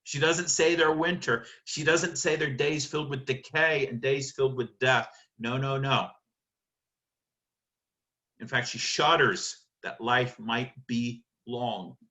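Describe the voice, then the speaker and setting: Emphatic male lecturer, bright forceful voice. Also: mid-range voice, fairly even intonation, slow pace. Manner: emphatic, dramatic, rhetorical questions answered by himself. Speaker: literature lecturer. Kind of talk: literary analysis lecture.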